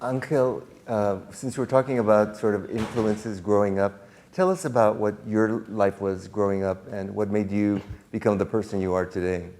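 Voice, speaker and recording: Guy moderating a panel, low voice, chatty interview questions. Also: normal speed, darkish tone. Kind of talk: conversational interviewing.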